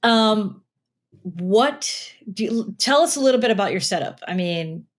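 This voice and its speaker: A woman's voice: medium pitch, animated, measured pace. A woman hosting an interview, asking casual questions in a chatty way, full of "like" fillers. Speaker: podcast host.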